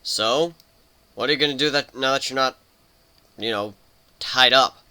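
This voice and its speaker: A man's voice: medium pitch, normal speed, bright, forceful tone, clear, resonant tone. A man playing a grumpy young male pegasus pony who mumbles and protests, in a cartoon fan fiction reading. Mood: curious.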